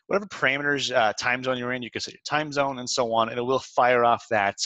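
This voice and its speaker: Man narrating a software tutorial, dry sound. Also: mid-range voice, fairly even intonation, fast talker, no echo, dull audio. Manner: informal, instructional, step-by-step. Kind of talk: walkthrough narration of a screen demo, semi-scripted. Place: close dry voice-over for a screen recording.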